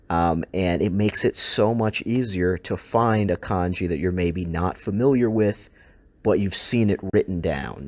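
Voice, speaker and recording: Male language teacher, English as his first language, very low voice, dry close sound, relaxed delivery with ums. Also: no room sound. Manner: relaxed explaining with frequent ums and uhs. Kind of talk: recorded slide lesson.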